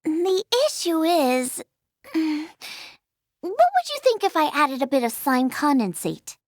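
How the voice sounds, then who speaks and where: A woman's voice: sits high, wildly swooping pitch, measured pace, dry recording, clean studio sound, heavily acted. A woman voicing a cheerful, stubborn, creative teenage girl chef in a video game, bubbly and emphatic. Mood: earnest. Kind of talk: animated game voice acting.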